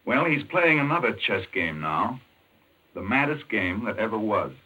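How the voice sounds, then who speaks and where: An actor playing an intense, urgent doctor in a 1940s American radio mystery, explaining things emphatically. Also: male voice, on the low side, sing-song, normal speed, sharp tone, husky, full character voice. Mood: urgent, insistent. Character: intense, obsessed male chess-playing doctor explaining a madman's scheme. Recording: muffled 1940s radio recording.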